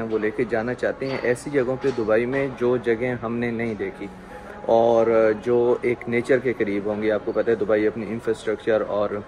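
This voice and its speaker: A man's voice: lowish voice, a little mellow. A man in his thirties from Pakistan, chatting upbeat to a handheld camera as a travel vlogger. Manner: chatty, upbeat guide talking straight to camera. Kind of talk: casual travel vlog narration. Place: outdoors and in a car, on the move. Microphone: handheld camera at arm's length. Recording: noisy outdoor vlog sound.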